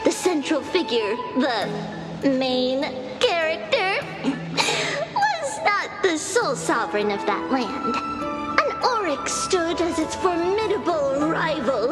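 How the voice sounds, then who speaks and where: A woman's voice: high voice, sing-song, slow, exaggerated voice, rough recording. A woman voicing a whimsical, grandiose storyteller who narrates a fantasy game in a playful mock-epic style. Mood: playful storytelling. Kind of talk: scripted narration. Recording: music behind.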